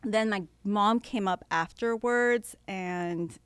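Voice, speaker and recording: Young woman law student from venezuela, chatty, lots of ums and laughs, mid pitch, dry close mic. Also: takes it slowly, clean tone, no room sound. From Venezuela, raised in San Francisco. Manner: chatty, laughs easily, hesitant fillers. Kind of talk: casual interview chat.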